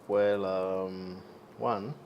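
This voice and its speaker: Deep-voiced young man, hesitant. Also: little pitch movement, drawn-out words, dull audio, a little hiss or hum. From Uganda. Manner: hesitant, many fillers, easy laughs. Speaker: guest, entrepreneur. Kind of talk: casual interview.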